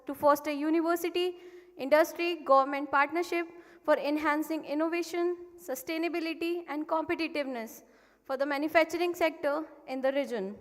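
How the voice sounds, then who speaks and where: A woman's voice: high voice, steady tone, fairly quick. A woman serving as conference emcee, reading a formal speaker introduction that stumbles in places. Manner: formal, slightly stumbling read. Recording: long hall reverb.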